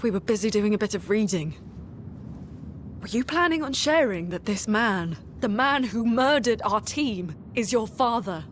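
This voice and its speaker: An actress playing a blunt, accusing expedition teammate in a dramatic video game, pointed and confrontational. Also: female voice, expressive, moderate pace, natural voice. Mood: accusing, sardonic. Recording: rumbling noise behind the voice.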